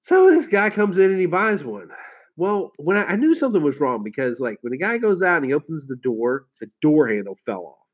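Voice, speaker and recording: A man hosting a casual livestream. He tells rambling stories, laughs and swears easily, and sounds hoarse from a head cold. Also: fairly high pitch, very animated, fairly quick. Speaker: car sales livestream host. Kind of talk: casual livestream chat with viewers. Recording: dull lo-fi stream audio.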